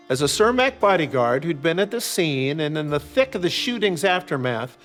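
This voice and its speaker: Higher-pitched older American man, music behind. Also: fairly bright tone. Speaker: author and series host discussing his novels. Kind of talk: reflective explanatory commentary, semi-scripted narration.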